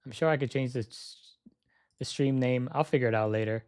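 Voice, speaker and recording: A young man live-streaming a flight sim session, chatting casually and thinking aloud as he sets up, his voice slightly hoarse. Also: medium pitch, brisk, a little mellow, no echo, clean studio sound. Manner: repeats "yep" while checking items.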